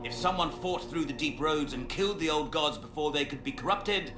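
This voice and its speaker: A man's voice: on the high side, animated, fairly quick, lightly acted. Man playing a smug, pompous villain mage with swooping delivery. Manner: pompous, gloating, theatrical. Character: smug fanatical male mage villain serving a dark master. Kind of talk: dramatic fantasy game acting. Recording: echoey, with music behind.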